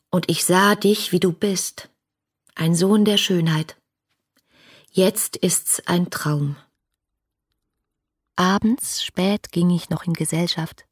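An actress playing an ardent, yearning romantic woman in a literary radio drama, with fervent, lyrical delivery that can turn hushed. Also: lowish voice, slightly rough, no echo, studio quality.

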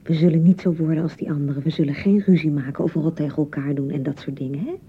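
An actress playing an insecure young newlywed wife in a radio drama, nagging and reproaching in repeated, sarcastic phrases. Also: female voice, low voice, fairly even intonation, fast talker, narrow, tinny audio, a little hiss or hum, lightly acted. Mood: conciliatory. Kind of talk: naturalistic radio drama acting.

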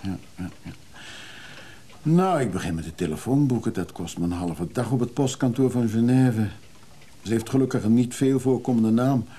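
A man acting a modest middle-aged antiques hunter in a radio play. His voice is husky and dark at a medium pitch. He sounds wistful and low-key, thinking aloud with small hesitations. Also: moderate pace, lightly acted. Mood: practical, doubtful. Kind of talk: naturalistic radio drama dialogue.

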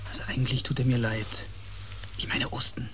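A man's voice: medium pitch, flat delivery, brisk, a bit bright, soft background music, close to an everyday voice. An actor playing a casual, wry and rambling male expedition crewman in a radio drama. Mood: wry pity. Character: chatty, half-sympathetic expedition crewman.

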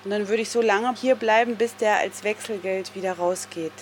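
A woman's voice: mid-range voice, steady tone, moderate pace. A woman working as a reporter, talking casually into a handheld microphone in a small shop. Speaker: reporter and radio play maker. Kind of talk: casual field conversation. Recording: noisy on-location field recording.

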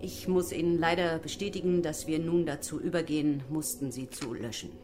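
An actress playing a formal, unyielding jobcenter manager in a German radio drama, bureaucratic and condescending. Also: female voice, deep voice, fairly quick, noisy background, lightly acted. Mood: falsely regretful.

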